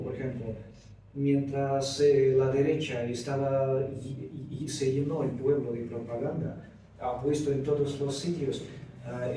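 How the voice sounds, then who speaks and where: A man's voice: medium pitch, warm tone. A man from the audience speaking up at a meeting, hesitating and searching for words as he makes his point. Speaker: audience member asking a question. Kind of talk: question from the floor. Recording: roomy echo, distant mic, faint hiss.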